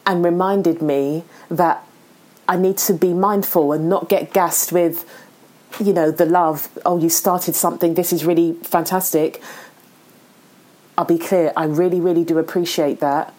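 A woman hosts a casual interview, talking in an earnest, reflective way. Her voice sits a little low. She sounds encouraging. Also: fairly even intonation, a little slow, darkish tone. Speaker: podcast host.

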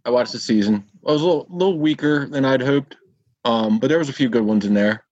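A man's voice: medium pitch, fairly quick, darkish tone, no echo, muffled recording. A man co-hosting a podcast in casual chat, offhand and easygoing, on a home mic over a video call. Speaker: podcast co-host.

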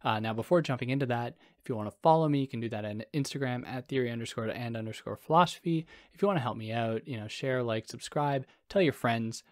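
A man with a soothing voice talks through a philosophy essay on his own podcast, loose and rambling, tossing in self-deprecating asides. His pitch sits in the middle for a man. The sound is dry and close, with no room around it. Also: fast talker, hardly any pauses, no echo. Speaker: podcast host. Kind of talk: casual solo philosophy lecture.

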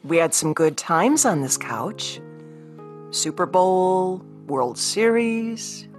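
Woman acting practical career woman and ex-wife, conversational, placating, expressive pitch, radio drama, light music. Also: takes it slowly. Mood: nostalgic. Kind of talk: radio play dialogue.

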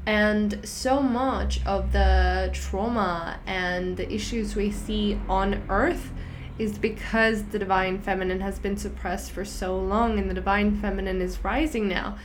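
A woman's voice: mid-range voice, fairly even intonation, unhurried, slight background noise. Earnest woman. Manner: gentle, earnest, speaks in short flowing phrases. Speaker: spiritual healing teacher. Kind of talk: personal monologue to viewers.

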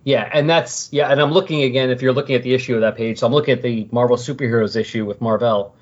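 A man's voice: mid-range voice, steady tone, fast. A man in a casual comic book discussion on a podcast, sometimes reading dialogue aloud. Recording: dull, lo-fi voice recording.

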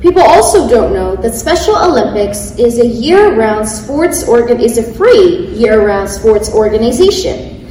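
A woman in her thirties reads prepared remarks to a crowd, eager and a little self-conscious. Her voice sits slightly high. The sound comes from a large, reverberant hall with faint ambience. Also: sing-song, a little slow, mellow, a little hiss or hum. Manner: eager, apologetic, laughs at herself. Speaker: panelist, athlete speaker. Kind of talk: reading prepared remarks on a panel. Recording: echoey hall, mic at a distance.

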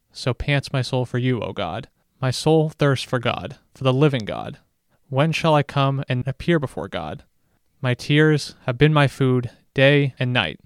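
A man's voice: medium pitch, clean tone, dry recording, studio quality. A man reading a psalm of scripture aloud as a guest reader.

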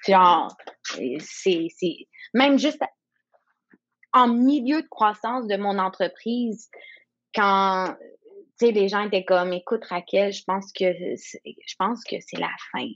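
A woman's voice: mid-range voice, takes it slowly, highs cut off. A woman in her thirties, a native English speaker, speaking French as a guest in an interview, reflective and searching for words. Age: 30s. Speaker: guest entrepreneur. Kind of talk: candid conversational interview.

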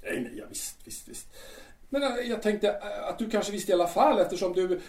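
A man's voice: sits high, darkish tone, roomy sound, far from the mic, natural voice. A man acting a vain, brash male musical star in an audio drama, who stammers and keeps asking "va?". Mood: gossipy. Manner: boastful, stammering, ends lines with "va?". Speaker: musical star Peter in his dressing room.

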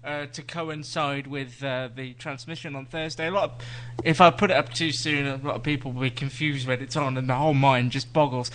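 A man's voice: medium pitch, fairly even intonation, a little fast, a bit bright, slight background noise. A man co-hosting a live TV podcast, reviewing shows in casual chat with dry teasing asides.

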